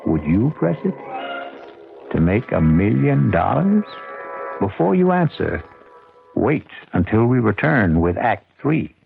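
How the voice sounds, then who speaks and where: Gravelly, low-voiced American radio narrator. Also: male voice, big pitch swings, takes it slowly, long pauses, over music. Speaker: host and narrator. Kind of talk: suspenseful scripted 1970s radio narration. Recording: narrow, tinny old radio broadcast.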